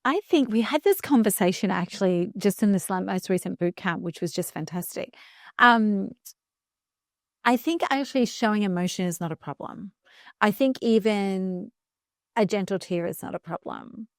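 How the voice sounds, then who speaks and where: A woman in her fifties speaks with earnest conviction, leaning into her points and slowing down to land the important words. Her voice sits in an ordinary middle pitch. It is recorded close to the mic, with a dry, dead sound. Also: no echo. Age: 50s. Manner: earnest, emphatic, slows down for key phrases. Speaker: guest speaker coach. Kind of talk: reflective podcast conversation.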